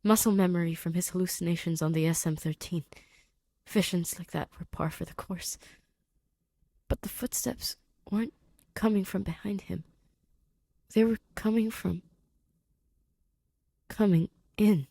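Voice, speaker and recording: A woman's voice: on the low side, steady tone, a little slow, creaky, pauses often, dark tone, dry recording. Woman reading fiction, dry close sound. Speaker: fan fiction reader. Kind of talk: expressive scripted story narration.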